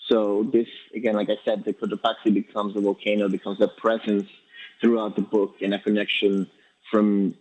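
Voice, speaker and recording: A man from Ecuador, a poet being interviewed, answering in a quick, halting way with many restarts and fillers. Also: on the low side, flat delivery, a little mellow, rough recording. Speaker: poet guest.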